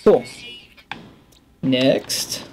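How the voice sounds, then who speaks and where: A man in his thirties giving casual game commentary, thinking aloud in a halting, musing, bemused way into a headset mic. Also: darkish tone, a little hiss or hum. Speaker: gaming video host. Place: indoor room at a desk.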